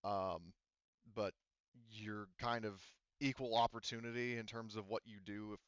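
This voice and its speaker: A man acting as game master, explaining a tabletop game scenario in a conversational, halting way. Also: lowish voice, fairly even intonation, clear, resonant tone, no room sound, muffled recording.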